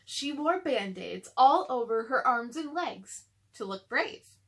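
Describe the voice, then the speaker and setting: A woman's voice: fairly high pitch, lively intonation, slow pace, fairly bright tone. A woman reading a children's story aloud, narrating and speaking directly to young listeners. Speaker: storybook reader.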